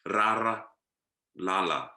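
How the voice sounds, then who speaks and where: Man, from Zimbabwe, low pitch, reflective, repeats words, roomy echo. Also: slow, sharp tone. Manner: thoughtful, repeats words to savor them. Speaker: guest, performing artist. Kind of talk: reflective conversation.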